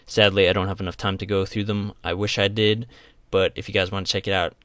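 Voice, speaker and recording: A man hosting a video channel, giving a measured, deliberate introductory voice-over to viewers. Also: sits low, little pitch movement, fairly bright tone, dry recording, dull audio.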